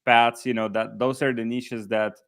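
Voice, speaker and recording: A man's voice: mid-range voice, flat delivery, normal speed, fairly bright tone. A man hosting a casual interview, talking loosely with many restarts and fillers. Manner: hesitant, many restarts and "like, you know". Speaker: host interviewing coaching clients.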